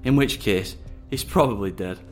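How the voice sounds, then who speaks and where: A man's voice: fairly low pitch, normal speed, a little mellow, close to an everyday voice. A man playing a smug young undercover agent, a coolly calculating psychopath with a detached, condescending manner, in an audio drama. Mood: cold, matter-of-fact. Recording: music underneath.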